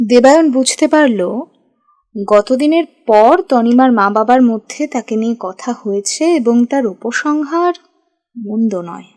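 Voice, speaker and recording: A woman's voice: fairly high pitch, lively intonation, a little slow, a little mellow. A woman narrating a scripted audio love story.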